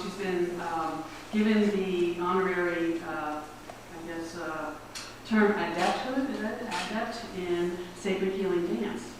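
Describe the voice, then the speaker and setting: Lower-voiced woman introducing a speaker. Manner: reads a biography with hesitations and fillers. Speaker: society director introducing the speaker. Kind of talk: formal introduction. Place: meeting room before an audience. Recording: echoey room, mic far off, background hiss.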